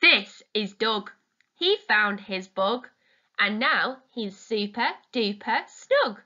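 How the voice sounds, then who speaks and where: Female storytime reader for young children: bright, very lively intonation, expressive and deliberate, on a lo-fi home recording. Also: mid-range voice. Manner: deliberate, addressing young listeners with questions. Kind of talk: expressive children's picture-book read-aloud.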